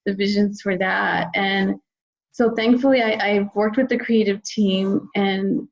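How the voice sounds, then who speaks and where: A woman's voice: medium pitch, steady tone, measured pace, vocal fry. Dull lo-fi recording of an enthusiastic woman. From United States. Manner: enthusiastic, talkative. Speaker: podcast guest, children's book author. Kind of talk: conversational interview.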